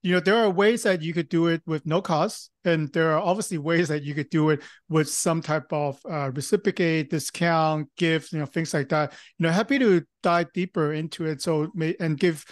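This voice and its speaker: A man from Hong Kong, a Cantonese native speaker, talking casually as a podcast guest, often pausing and restarting. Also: on the high side, steady tone, no echo, clean studio sound. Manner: halting, many fillers and restarts. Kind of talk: casual interview talk.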